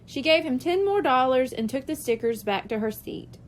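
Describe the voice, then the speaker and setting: A woman's voice: sits high, animated, normal speed, slight background noise. A woman narrating a children's story from a script as an audiobook reader.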